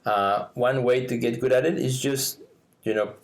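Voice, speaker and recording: A middle-aged man from Mexico with a Mexican Spanish accent, Spanish his first language, co-hosting a podcast in a lively, casual way with lots of laughs and fillers. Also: medium pitch, moderate pace. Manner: animated, laughs often, many fillers. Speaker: podcast co-host.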